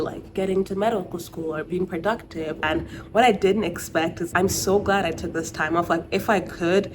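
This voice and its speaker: A woman's voice: fairly low pitch, noisy background. A young woman from Nigeria in a casual, reflective vlog, chatting with lots of "like". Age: young adult. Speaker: vlogger. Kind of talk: casual talking-head vlog.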